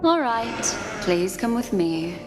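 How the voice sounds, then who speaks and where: An actress playing a poised, mysterious woman guide in a video game, speaking in a measured, intimate and softly teasing way. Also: fairly high pitch, sing-song. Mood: composed, intimate. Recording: long reverb, music behind. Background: game music.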